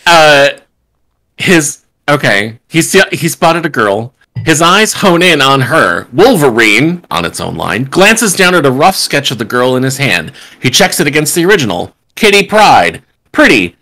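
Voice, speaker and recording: A man's voice: fairly high pitch, sing-song, measured pace, pushed and bright. A man reading stage directions at a casual live table read on a video call, with sardonic, sweary asides. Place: home room on a group video call.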